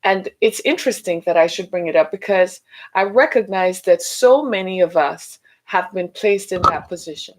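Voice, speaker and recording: Middle-aged woman hosting a podcast, chatty and a bit hoarse, keeps checking in with "right?". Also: normal speed. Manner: chatty, asks listeners "right?"; animated, rising pitch when mocking. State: losing her voice. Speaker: podcast host. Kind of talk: solo podcast talk.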